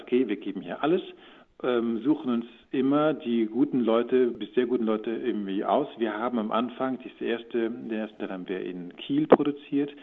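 Husky man on a phone-like line. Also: fairly low pitch. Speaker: audio drama producer and voice-over speaker. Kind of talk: casual interview answers.

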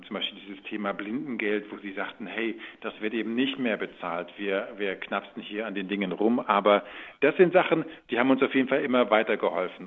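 Husky man on a phone-like line. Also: lowish voice. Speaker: radio drama producer, actor and interview guest. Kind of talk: conversational interview answers. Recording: phone-like narrow band sound.

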